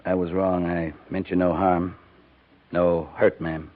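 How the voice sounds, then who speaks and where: Through thin old radio sound comes a low-voiced American cavalry captain. He is grave and kindly, measuring out short, solemn phrases in the manner of a fifties western drama. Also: level tone, slow pace. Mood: contrite, solemn. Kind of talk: 1950s radio western acting. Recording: narrow-band 1950s radio recording.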